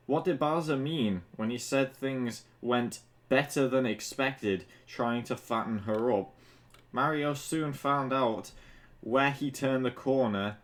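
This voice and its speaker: A man who sounds tipsy, reading fan fiction aloud casually and comedically, stumbling over words and breaking off to mock the text with sweary asides. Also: mid-range voice. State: drunk. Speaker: fan fiction reader and commentator.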